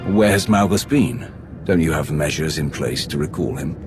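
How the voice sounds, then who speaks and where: A strikingly deep male voice of a blunt Sith warrior, rising and falling a lot as he questions. Loud music plays under his game lines. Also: lively intonation, normal speed. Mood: terse. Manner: terse, direct.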